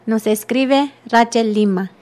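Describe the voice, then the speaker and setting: A woman radio host introducing letters sent in by listeners, in a plain, measured way. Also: on the high side.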